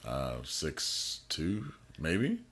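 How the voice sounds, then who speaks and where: Man, late 30s, podcast guest; very low, animated voice, dry teasing humor. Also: drawn-out words, breathy edge. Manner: dry teasing quips, laughs easily. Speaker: podcast guest, bass player. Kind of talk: casual chat.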